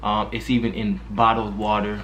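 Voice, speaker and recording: A man's voice: on the low side, level tone, moderate pace, a bit bright, slight background noise. A man hosting his own spiritual vlog in casual slang, hyping up and directly addressing his viewers, with faint music under his voice. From Haitian background. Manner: street slang, hypes the viewers. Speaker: spiritual vlogger.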